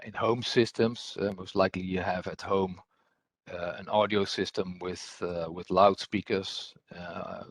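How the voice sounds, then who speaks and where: Deep, husky man presenting a technical webinar. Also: a bit bright, no room sound. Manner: hesitant, repetitive phrasing. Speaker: presenter, application engineer.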